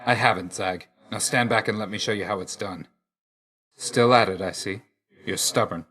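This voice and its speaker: A man playing a grim, aloof god of death in a video game, terse and deadpan, stern yet grudgingly loyal. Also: on the low side, moderate pace, natural voice. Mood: dry, reserved. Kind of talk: scripted game character lines.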